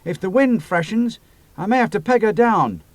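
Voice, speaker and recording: A man's voice: high voice, lively intonation, normal speed, no room sound, voice pushed up. A man voicing an eager young male pilot and mechanic who replies smartly.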